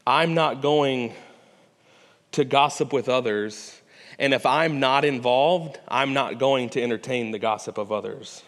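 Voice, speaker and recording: A man's voice: medium pitch, a little slow. Pastor guy preaching, chatty and earnest, lots of restarts, big echoey church sound. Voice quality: loud voice. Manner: earnest, conversational preaching with frequent restarts and rhetorical "right?". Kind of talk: sermon. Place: church sanctuary. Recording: hall reverb.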